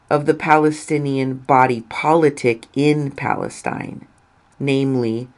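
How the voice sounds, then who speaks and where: A woman reading a written article aloud in a deliberate, emphatic way with pauses between phrases. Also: a bass voice, a little mellow. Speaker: reader of an article.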